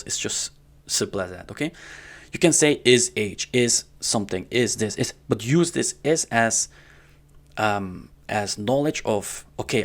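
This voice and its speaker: Gravelly low-voiced man teaching. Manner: informal, step-by-step explaining, often checking with "okay?" and "right?". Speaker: programming tutorial instructor. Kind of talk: casual screen-recorded coding lesson. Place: indoors at a computer.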